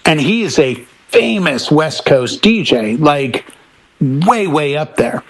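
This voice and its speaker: A man's voice: on the high side, lively intonation, takes it slowly. A middle-aged man chatting casually as a DJ on a panel, telling anecdotes and acting out the dialogue in them. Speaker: DJ and talk show panelist. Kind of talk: casual chat and storytelling.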